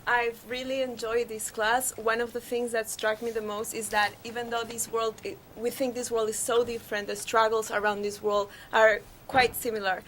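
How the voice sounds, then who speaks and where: A woman's voice: a little high, little pitch movement, normal speed, few pauses, slight background noise, poor audio. A woman student from Peru introducing herself earnestly. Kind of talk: spoken self-introduction.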